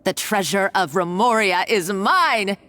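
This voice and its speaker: An actress playing a scheming villainess in a game, obsessed with treasure, grandiose and melodramatic in manner. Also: female voice, medium pitch, animated, a little slow, sharp tone. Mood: gloating. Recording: music behind.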